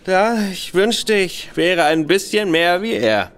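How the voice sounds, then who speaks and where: A man's voice: high voice, very animated, slow, a bit bright, smooth, heavily acted. An actor playing a bitter, tipsy young nobleman who rambles spitefully in a radio play. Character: Sebastian Conroy, young nobleman, bitter, drinking, boastful.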